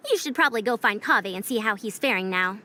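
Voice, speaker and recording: A woman's voice: mid-range voice, lively intonation, quick pace, noisy background, natural voice. A woman voicing a proud, self-assured veteran scholar in a video game, slightly haughty with old-fashioned phrasing. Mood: curious, brisk. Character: confident, proud, brisk female veteran scholar. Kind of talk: voiced video game dialogue.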